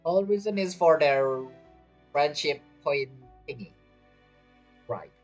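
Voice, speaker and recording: A man's voice: on the high side, animated, takes it slowly, highs cut off. A man giving casual live gameplay commentary, talking as a gaming streamer. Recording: game music behind the voice. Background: video game music.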